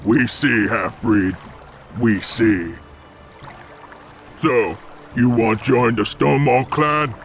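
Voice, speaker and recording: A brutish, proud ogre from a fantasy game. He drags out blunt, broken sentences in a rough, gravel-choked voice at a slow pace. Music plays behind him. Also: mid-range voice, fairly bright tone. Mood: gruff, challenging. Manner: broken, blunt, primitive grammar. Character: brutish, dim, proud male ogre clan warrior. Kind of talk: video game cutscene acting.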